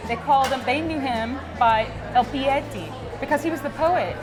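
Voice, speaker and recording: A woman's voice: high-pitched, moderate pace. A woman from Dallas, a native Greek speaker, talking through her background in a chatty way with many ums and restarts. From Dallas, Texas. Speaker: gallery owner. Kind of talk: interview at an art show. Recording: music and crowd behind. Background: crowded gallery event with music.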